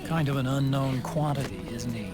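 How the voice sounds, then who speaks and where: A man's voice: mid-range voice, moderate pace, lightly acted. A man playing an earnest, serious military comrade giving reports in a video game drama. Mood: concerned, serious. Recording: very loud music behind the voice.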